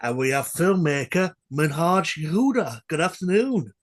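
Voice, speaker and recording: A man with a fairly high voice hosts a community radio interview. He talks hesitantly, stopping to restart his sentences and filling the gaps with uhs. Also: big pitch swings, moderate pace. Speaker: radio presenter.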